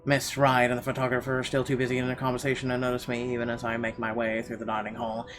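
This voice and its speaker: A man's voice: mid-range voice, completely flat delivery, fast talker. A man streaming a game, reading its narration aloud with the odd stumble and offhand aside. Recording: game music behind the voice.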